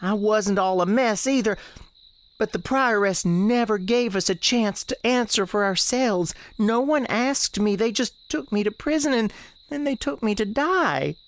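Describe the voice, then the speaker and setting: A woman's voice: medium pitch, a little slow, close to an everyday voice. A woman playing the restless, defiant ghost of a sixteen-year-old novice nun in a radio drama, earnest and plaintive, with folksy phrasing. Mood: wounded, defiant. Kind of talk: dramatic radio theatre dialogue.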